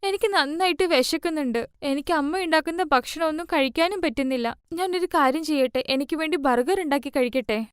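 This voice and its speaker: A woman's voice: high voice, brisk, no echo, studio quality, pitched above the performer's own voice, close to an everyday voice. A woman voicing a stubborn young daughter in a cartoon story, girlish, insistent and pleading, with a light voice. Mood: fretful, pleading.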